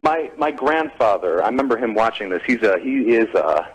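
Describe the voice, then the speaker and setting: A man's voice: medium pitch, lively intonation, a little fast, husky, rough recording. A man calling in to a live TV program, giving an earnest-sounding comment on the news.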